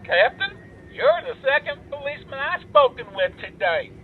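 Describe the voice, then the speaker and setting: A man's voice: extremely high pitch, sing-song, normal speed. A man playing a polite, naive living teddy bear in an audio drama, heard as if over a telephone. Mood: polite, friendly. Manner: courteous, naive. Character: polite, earnest living teddy bear leading hostage takers. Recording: tinny phone line with background noise.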